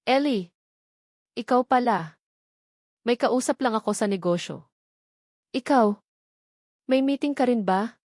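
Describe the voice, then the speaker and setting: A woman's voice: fairly high pitch, slow pace, long pauses, darkish tone, no echo, studio quality. A woman narrator voicing an evasive male cousin who feigns casualness in an audio storybook. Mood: nervous, evasive.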